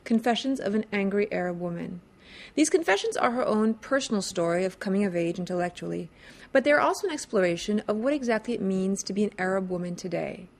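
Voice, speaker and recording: Polished TV interviewer, woman. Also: mid-range voice. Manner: polished intro, then conversational probing questions. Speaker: TV interviewer, host. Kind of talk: news channel interview. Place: TV studio.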